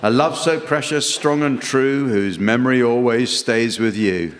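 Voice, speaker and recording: A man chairing a comedy panel show, delivering scripted comic material in a deadpan way. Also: medium pitch, unhurried. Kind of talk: scripted comic hosting with dry asides. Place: theatre stage before a live audience. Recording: hall reverb, audience and background noise.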